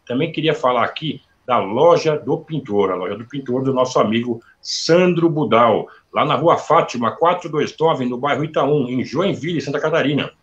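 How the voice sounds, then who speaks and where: A man's voice: mid-range voice, normal speed, few pauses, highs cut off. A man hosting a live online interview, chatting freely and reading out sponsor plugs before his questions. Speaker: interview host. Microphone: video call.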